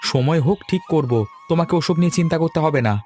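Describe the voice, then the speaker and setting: A put-upon grown son in a cartoon, voiced by a man. His medium-high voice placates and gently protests, with music faintly behind. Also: quick pace, close to an everyday voice. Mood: placating. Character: patient, put-upon adult son of nagging father. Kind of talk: cartoon dialogue acting.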